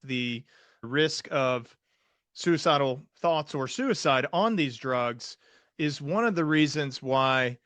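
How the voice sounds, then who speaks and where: A man's voice: medium pitch, fairly bright tone, no room sound. A man hosting a conversational interview as a psychiatrist, talking in an earnest, explanatory way. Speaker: podcast host, psychiatrist.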